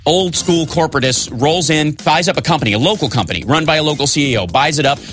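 A man appearing as a studio guest on a talk show, sarcastic and animated while giving his opinions. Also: on the high side, fast, slight background noise. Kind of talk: political discussion.

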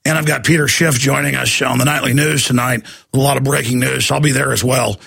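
A radio talk show host, who is losing his voice, talking in an emphatic, ranting way that climbs high when he gets worked up. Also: steady tone, a little fast, creaky, a bit bright, husky. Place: radio studio. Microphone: studio microphone.

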